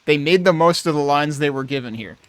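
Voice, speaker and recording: A man's voice: a little high, fairly quick, smooth, dry recording. A man giving casual, rambling playthrough commentary as he thinks aloud. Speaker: game streamer.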